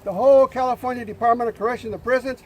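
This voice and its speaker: An older man speaking loudly and plainly at an outdoor protest rally, pausing often with fillers. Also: very high-pitched, fairly even intonation. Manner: loud, plain-spoken, halting with fillers. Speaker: rally speaker, former prisoner. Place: outdoors at a street demonstration. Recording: noisy outdoor street recording.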